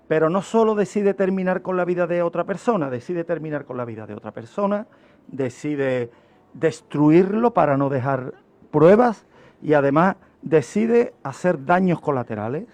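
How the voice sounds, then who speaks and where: A man's voice: on the high side, darkish tone. A man with a Spanish accent from Spain giving an informal, didactic radio explanation as an expert guest, repeating phrases for emphasis.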